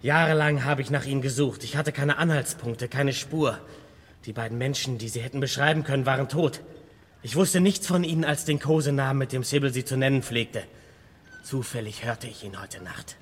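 Grim vengeful sailor in long reverb played by an actor. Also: male voice, mid-range voice, normal speed, close to an everyday voice. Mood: menacing. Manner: terse, threatening, intense. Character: grim, vengeful stocky sailor, hard and haunted. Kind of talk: radio play acting. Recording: long hall reverb, faint background noise.

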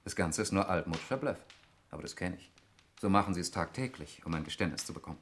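A deep-voiced, suspicious lawyer argues his points with testy precision. The style is 1960s German radio drama, with faint noise on the old recording. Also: animated, quick pace. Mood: suspicious, scornful. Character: irritable, suspicious male lawyer, adopted brother in murder mystery. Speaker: radio play actor.

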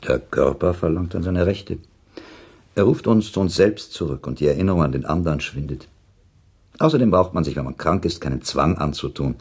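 An actor playing a shrewd, cynical, curious listener in a radio play, with a dry, worldly, probing manner. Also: male voice, deep voice, a little fast, darkish tone, husky. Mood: detached musing.

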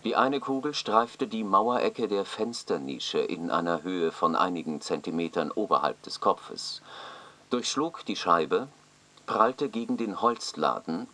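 An actor in a radio play playing a detached official who reads out a formal forensic report, matter-of-fact. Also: male voice, low-pitched, sharp tone, full character voice.

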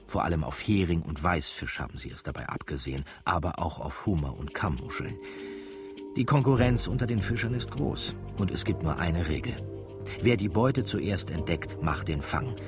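A very deep male voice narrates a radio drama. He is calm, knowing and measured, with music playing clearly behind him. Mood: explanatory.

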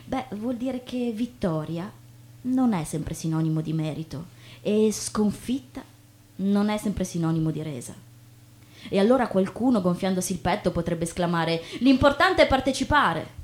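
A woman acting on stage as a chatty, naive young woman, expressive and colloquial. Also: medium pitch, moderate pace, natural voice. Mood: earnest, declamatory. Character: chatty, inquisitive, self-deprecating young woman, breezily naive. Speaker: stage actress. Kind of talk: theatrical monologue and dialogue. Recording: noticeable background noise with hum.

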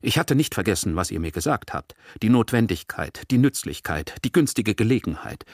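A man's voice: on the low side, very animated, a little fast, no echo, clean studio sound. A man voicing an aggrieved, pathetic villager who protests emphatically and self-righteously in a literary audiobook. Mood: aggrieved, indignant. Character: aggrieved, pathetic, indignant male villager of little means. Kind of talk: acted dialogue in a literary audiobook reading.

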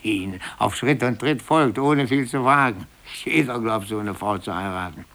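A man acting a weary, bitter middle-aged office clerk in a 1950s German radio drama, brooding and halting, often repeating himself. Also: on the low side, a bit bright. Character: weary, bitter older male office clerk, disillusioned and restless. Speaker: actor in a radio play.

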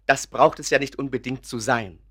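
A man's voice: medium pitch, fairly even intonation, moderate pace, fairly bright tone, natural voice. A man playing an earnest, principled doctor in a German radio play, insistent and reasoning in his talk. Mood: urgent, pleading. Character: earnest, principled male doctor, sceptical of illusions.

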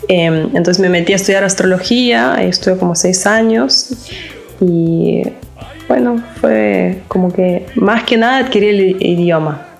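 A woman from Lithuania with a Lithuanian accent, a psychologist speaking in an interview, halting and searching for words with frequent restarts. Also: medium pitch, gravelly, soft background music. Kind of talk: casual interview talk.

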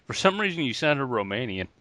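A man's voice: mid-range voice, big pitch swings, moderate pace, sharp tone, dry recording. A man co-hosting a podcast in casual joking banter, laughing often. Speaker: podcast co-host. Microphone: podcast mic.

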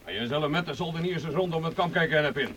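An actor playing an arrogant, brutal medieval knight in a radio play, giving contemptuous orders. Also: male voice, a little high, very fast, natural voice. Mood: scornful. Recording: loud hiss on an old tape.

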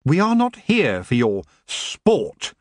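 A man voicing a calm, polite young man who stands firm in a storybook, measured and courteous. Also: fairly high pitch, no echo, clean studio sound, pitched above the performer's own voice, exaggerated voice. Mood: defiant.

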